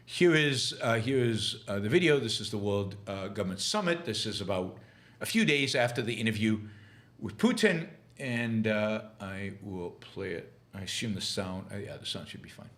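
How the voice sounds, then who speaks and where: A middle-aged man hosting a political commentary show, speaking in a halting way with many fillers and restarts, and turning scornful and sarcastic. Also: on the low side, animated, fairly bright tone. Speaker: podcast host.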